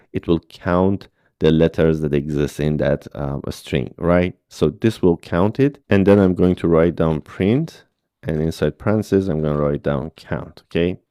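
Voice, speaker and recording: Deep-voiced man teaching code patiently. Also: mellow, clean tone, no echo, studio quality. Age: 30s. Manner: patient step-by-step explaining with frequent "okay?" checks. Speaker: programming instructor. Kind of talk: screen-recorded coding tutorial.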